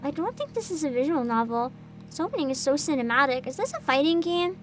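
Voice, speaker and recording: A woman chatting casually to viewers as a livestream host, with a giggly, expressive manner full of interjections. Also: sits high, fast talker, a little hiss or hum.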